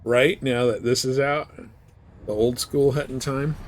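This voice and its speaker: Man, game streamer, casual, chatty, thinking aloud, medium pitch, some background noise. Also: fairly even intonation, takes it slowly. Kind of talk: casual live gameplay commentary.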